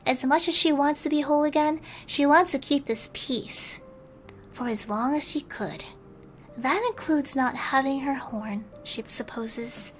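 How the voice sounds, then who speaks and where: A woman streamer reading a bedtime story in a soft ASMR style, at times slipping into a whisper. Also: sits high, unhurried, faint music behind, poor audio. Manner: soft-spoken, sometimes whispering.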